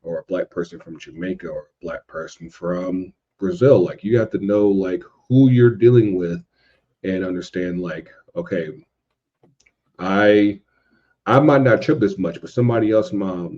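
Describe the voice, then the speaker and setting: Male podcast co-host, deep voice, conversational and informal, dull lo-fi microphone. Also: measured pace, dark tone. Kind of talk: casual podcast discussion.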